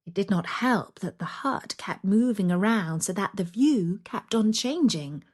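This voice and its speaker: A woman narrating a scary children's story in a deliberate, dramatic, expressive way. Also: medium pitch.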